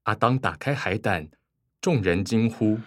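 A man's voice: lowish voice, measured pace, dry recording, studio quality. A man reading scripted narration for a radio drama.

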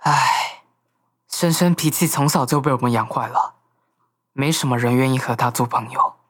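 An actor playing a weary, middle-aged father mourning his son, with a husky voice. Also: medium pitch, normal speed, a bit bright, natural voice. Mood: sorrowful.

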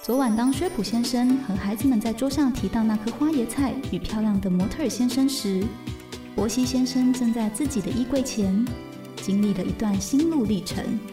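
A woman's voice: fairly high pitch, moderate pace, reverberant, recorded from a distance. A woman with a Taiwanese Mandarin accent reading scripted narration for a radio drama. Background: soft music.

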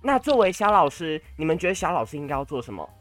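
A man's voice: a little high, big pitch swings, fast talker, over loud music. A young man with a Taiwanese Mandarin accent co-hosting a podcast in casual banter with a playfully theatrical manner. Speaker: podcast co-host.

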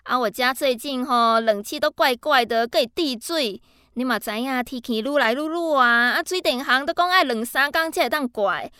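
A woman's voice: high voice, moderate pace, pushed and bright, smooth, no room sound, clean studio sound. An actress playing a chatty, effusive middle-aged mother in a radio drama, with a Taiwanese Mandarin accent mixed with Hokkien. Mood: friendly, chatty. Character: chatty, friendly neighborhood mother asking a favor.

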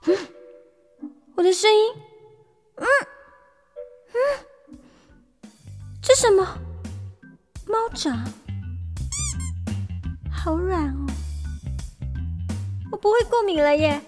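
A woman acting as an excitable young woman with an extremely high voice. She speaks slowly in a whiny, cutesy radio drama manner, full of exclamations. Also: faint music behind, exaggerated voice. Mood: bewildered.

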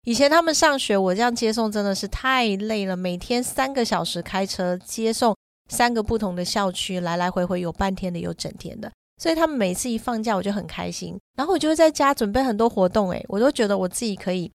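A woman's voice: medium pitch, lively intonation, brisk, no room sound. A woman, likely middle-aged, co-hosting a conversational parenting podcast and explaining her points step by step. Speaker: podcast co-host and mother.

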